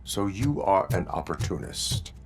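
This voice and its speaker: An actor playing a stern cyborg police officer who speaks in clipped, formal, emotionless lines. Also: male voice, sits low, very animated, measured pace, gravelly, background noise, heavily acted. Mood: flat.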